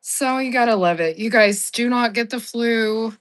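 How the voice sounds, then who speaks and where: A woman hosting a live-selling stream, hoarse as she recovers from the flu, chatty and apologetic, with plenty of ums. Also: on the high side, normal speed. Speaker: live-selling app host. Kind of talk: casual livestream seller-support chat.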